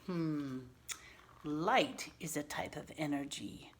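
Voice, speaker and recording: A woman teacher reading a picture book aloud to young children, pausing to explain words and ask simple questions in an instructive way. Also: low-pitched.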